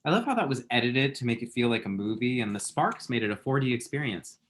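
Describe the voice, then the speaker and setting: A man's voice: medium pitch, animated, quick pace, fairly bright tone, natural voice. A man acting a dramatic, lovestruck man in his late twenties in a rom-com script read, rambling and quippy. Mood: wry, flustered. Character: dramatic, lovestruck, scrolling-obsessed twenty-seven-year-old man. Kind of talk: screenplay table read, rom-com dialogue.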